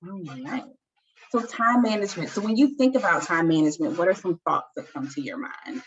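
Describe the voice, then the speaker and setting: A woman hosts a casual online workshop, talking in a chatty, upbeat way full of ums and likes. Her voice sits a little low, and the video-call audio is slightly dull with faint background hiss. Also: a little fast. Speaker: workshop host.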